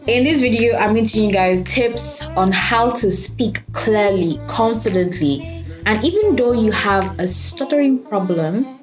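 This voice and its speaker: A woman's voice: mid-range voice, a little mellow, rough recording. A woman talking casually to camera, giving tips on speaking, who stutters on some sounds and laughs at herself. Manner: chatty, repeats "you guys", laughs at herself. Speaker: vlogger teaching speaking tips.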